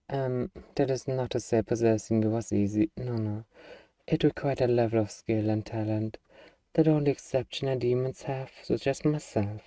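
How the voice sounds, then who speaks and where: A man's voice: medium pitch, warm tone, dry recording, far from the mic, natural voice. A man playing a small, eager demon in a comic ASMR roleplay, boastful and self-important yet easily flustered and hesitant. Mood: smug, sheepish. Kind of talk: ASMR fantasy comedy roleplay addressed to listener.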